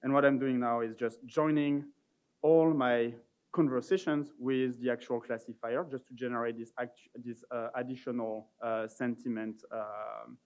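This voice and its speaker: Male conference presenter, medium pitch, informal halting delivery, live demo style, muffled event recording. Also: steady tone, a little mellow, smooth. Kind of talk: live product demo talk.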